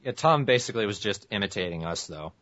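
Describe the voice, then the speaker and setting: Low-voiced, offhand man. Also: lively intonation, fast talker, fairly bright tone, dry recording. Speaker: fan dub voice actor. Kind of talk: casual podcast chat. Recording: dry, dull lo-fi recording.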